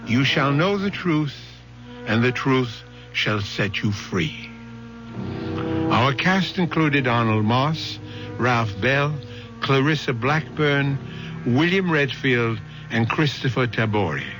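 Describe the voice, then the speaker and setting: A man hosting a radio drama, giving scripted narration in a measured, solemn, theatrical 1970s style. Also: medium pitch, animated, low-quality recording. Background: music.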